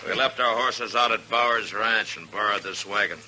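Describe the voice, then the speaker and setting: Weary old west marshal, american, really low and hard edged, few words, old radio show. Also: male voice, moderate pace, bright, forceful tone. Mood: curt, commanding. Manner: terse, grave, authoritative. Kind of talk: 1950s radio western acting. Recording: hissy 1950s radio drama recording.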